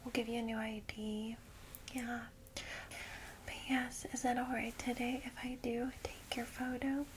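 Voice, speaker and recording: A woman whispering softly and soothingly in an ASMR roleplay as a caring, old-fashioned vampire guide, in a breathy voice. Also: fairly high pitch, little pitch movement, background noise. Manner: gentle, soothing, slow reassurance.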